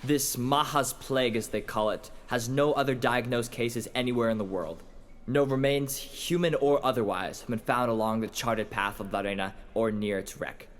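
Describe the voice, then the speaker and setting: A man playing a formal investigator who lectures in a measured, expository way in an audio drama. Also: medium pitch, lightly acted. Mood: measured, intrigued. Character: formal investigator lecturing on a mysterious lost ship. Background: faint music.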